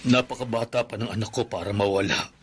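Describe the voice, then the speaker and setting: A man's voice: lowish voice, steady tone, quick pace, background noise, close to an everyday voice. An actor in a radio drama playing a grieving father who talks in a sorrowful way. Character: grieving father of a dead son.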